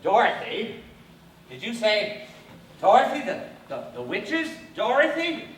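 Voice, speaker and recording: A man's voice: high-pitched, huge pitch swings, measured pace, fairly bright tone, slight background noise, exaggerated voice. An actor playing a flustered, bossy palace doorman who stammers and backpedals, in 1930s film musical style. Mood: startled. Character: flustered, blustering male palace doorman, middle-aged. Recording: roomy old 1930s film soundtrack from far off, music behind.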